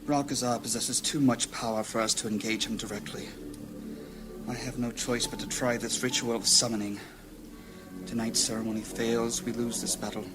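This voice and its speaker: A man acts out a solemn, troubled leader of mages in a fantasy audio drama. He speaks formally and theatrically in a medium-pitched male voice, with prominent music underneath. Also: little pitch movement, normal speed, natural voice. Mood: troubled, brooding. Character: grave, troubled gray-robed mage leader, male.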